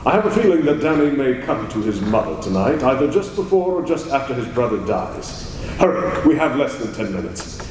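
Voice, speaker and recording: A man playing a calm, commanding crime-fighter hero in a live old-time radio drama, crisp and dramatic. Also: medium pitch, a little fast, a little hiss or hum, close to an everyday voice. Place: large convention hall, live stage. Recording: echoey hall with long reverb.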